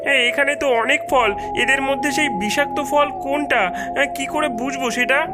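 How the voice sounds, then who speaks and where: A performer voicing an anxious but eager young boy in a children's cartoon, excitable and full of questions. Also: high voice, moderate pace, close to an everyday voice. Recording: music behind the voice.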